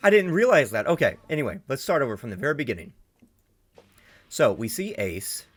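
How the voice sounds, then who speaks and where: A man at a middle pitch talks through a reaction video in a loose, excitable way. He swears freely and keeps restarting his sentences as he thinks aloud, with faint music behind him. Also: fairly quick. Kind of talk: casual reaction commentary.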